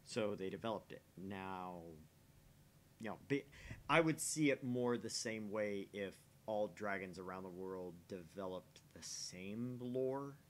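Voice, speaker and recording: A man's voice: on the low side, a little slow, a little hiss or hum. A man co-hosting a game stream, casually explaining his opinions at length and repeating phrases to make his point. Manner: explains at length with repetition and restarts. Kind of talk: casual chat, opinionated musing.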